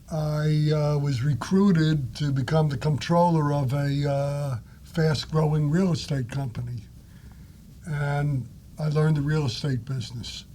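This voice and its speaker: An older man with a New York accent who speaks haltingly, with many fillers. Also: on the high side, mellow, a little hiss or hum. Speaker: guest, lender. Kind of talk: interview answers.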